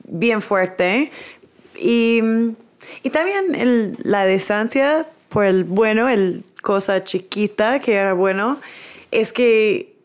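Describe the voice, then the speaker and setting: A woman's voice: mid-range voice, slow. A woman in her twenties, a native English speaker, chatting as a podcast guest in hesitant learner's Spanish with English words slipping in. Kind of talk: casual chat.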